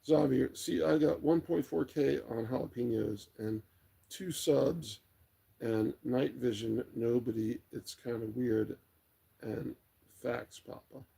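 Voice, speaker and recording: A middle-aged man with a raspy, tired late-night voice, hosting a livestream in a rambling, relaxed way as he chats and reads comments aloud. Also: medium pitch, takes it slowly. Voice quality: raspy, gravelly. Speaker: livestream host. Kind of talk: casual chat, reading viewer comments aloud.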